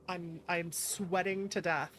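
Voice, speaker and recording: A woman streaming a game, reading its text aloud and reacting with expressive, playful asides. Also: fairly low pitch. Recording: music behind the voice. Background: game music.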